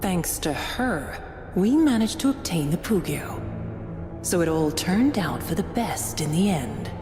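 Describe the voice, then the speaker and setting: A woman's voice: on the low side, very animated, measured pace. An actress playing a cold, scornful, zealous cultist in a video game, mocking and composed as she speaks. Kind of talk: dramatic video game acting. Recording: echoey hall reverb, music behind.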